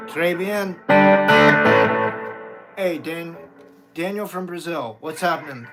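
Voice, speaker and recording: A man's voice: fairly high pitch, slow. A middle-aged American man chatting casually as a live-stream host, blunt and informal in the way he talks, with guitar behind him. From United States. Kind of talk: casual live-stream chat reading viewer comments. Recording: loud guitar music behind the voice. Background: guitar playing.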